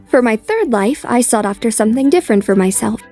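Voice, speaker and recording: A woman's voice: on the high side, expressive, fairly quick, dark tone, soft background music. A woman playing a composed, determined young noblewoman in an anime dub, speaking politely and reflectively. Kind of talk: anime dub acting with inner narration.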